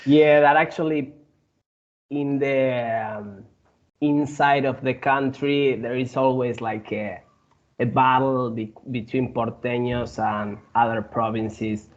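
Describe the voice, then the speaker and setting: A man from Argentina with an Argentine Spanish accent, a guest in a casual interview who speaks haltingly, with many fillers and restarts. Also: medium pitch, steady tone, dull audio.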